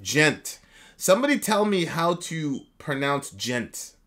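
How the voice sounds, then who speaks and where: A man from Jamaica, co-hosting a casual live chat, animated and laughing often as he reads comments. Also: a little high, slow. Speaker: co-host.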